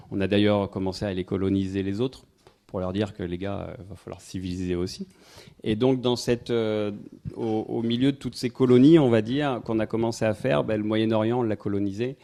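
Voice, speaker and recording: Hesitant man with a dark, low voice. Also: fairly even intonation, normal speed, creaky. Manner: rambling, hesitant, many fillers, wry asides. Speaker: speaker giving a talk on incense history. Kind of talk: informal public talk to a live audience. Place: festival evening event, indoors.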